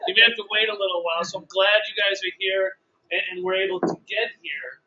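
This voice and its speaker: A man with a loud voice hosting a business training seminar in a hotel meeting room, running through the day's plan briskly with many fillers. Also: sits high, steady tone, over a noisy crowd. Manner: brisk organizer with filler words. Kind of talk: live seminar talk to a room. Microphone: room recording, mic not working. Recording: dull lo-fi room recording, faint noise.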